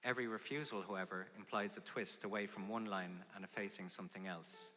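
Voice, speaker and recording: A man from Ireland, reading a poetic essay in a slow, reflective, low-key way. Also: steady tone. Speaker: narrator. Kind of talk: scripted poetic narration. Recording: loud guitar music under the voice. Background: guitar music.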